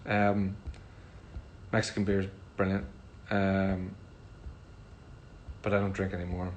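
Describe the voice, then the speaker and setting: Chatty Northern Irish man, low voice. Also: slow, a little hiss or hum. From Northern Ireland. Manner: relaxed, chatty, frequent ums. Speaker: musician answering fan questions. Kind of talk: casual livestream Q&A.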